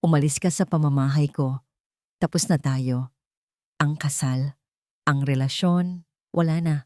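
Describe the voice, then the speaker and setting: A woman's voice: sits low, steady tone, a little slow, darkish tone, dry recording, clean studio sound. Woman as an angry, protective billionaire son, commanding. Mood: firm, cold. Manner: emphatic, commanding. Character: wronged billionaire son, protective, firm, angry adult man. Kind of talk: dramatic audio story dialogue.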